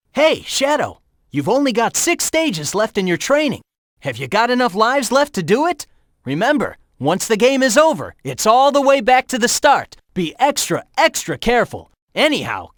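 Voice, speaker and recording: A man's voice: very high-pitched, fairly bright tone, dry recording, studio quality, heavily acted. An actor voicing a cocky, upbeat young male hedgehog hero in a video game, giving breezy, encouraging hints.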